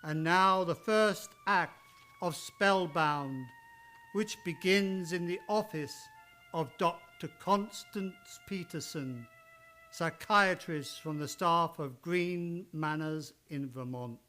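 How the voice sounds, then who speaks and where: Man playing a slow, stately suspense-film director. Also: fairly high pitch, bright, forceful tone, soft background music. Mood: stately, ominous. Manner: formal, measured, deliberate. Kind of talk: old-time radio play narration.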